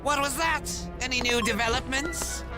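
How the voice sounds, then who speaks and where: A man's voice: very high voice, moderate pace, music in the background. A man playing a hot-tempered, petulant demon king in a game, whiny and bullying. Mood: alarmed, scornful.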